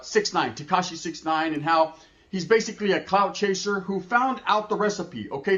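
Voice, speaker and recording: A man's voice: high-pitched, a bit bright. A man presenting a commentary video in a playful, crude way, dropping Spanish words into his talk. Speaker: YouTube commentator. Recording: muffled clip played on stream.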